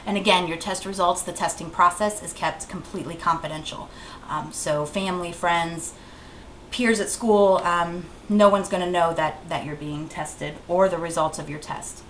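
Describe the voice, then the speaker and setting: A woman's voice: lowish voice, fairly even intonation, moderate pace. A woman giving an informal, plainly instructive health presentation as a clinic educator, repeating key advice. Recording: roomy echo, faint background noise.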